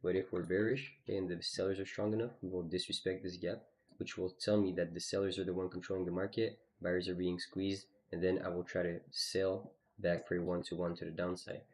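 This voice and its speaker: A man's voice: sits low, little pitch movement, fairly quick, darkish tone. A man streaming live, casually walking through his trades on a chart, with lots of "you know" and "okay". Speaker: trading streamer.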